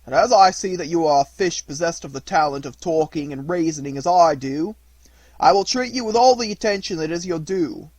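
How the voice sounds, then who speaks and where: Man voicing a loud, gloating monster fisherman. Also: a little high, lively intonation, a little fast, no echo, voice pushed up, rough recording. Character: menacing green fisherman monster, gloating, mock-courteous.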